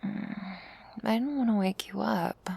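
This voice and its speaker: A woman playing a weary human troubled by nightmares in an ASMR roleplay, drowsy, hushed and breathy. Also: mid-range voice, a little slow. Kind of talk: scripted ASMR roleplay to the listener.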